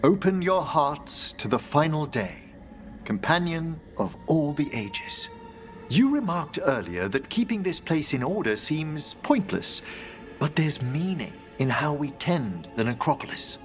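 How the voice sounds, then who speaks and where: A learned gentleman necromancer for a fantasy game. His voice sits a little high for a man and swoops expressively through formal, ceremonious phrases, with music playing behind him. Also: sing-song, measured pace. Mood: solemn. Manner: formal, eloquent, musing. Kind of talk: video game voice acting.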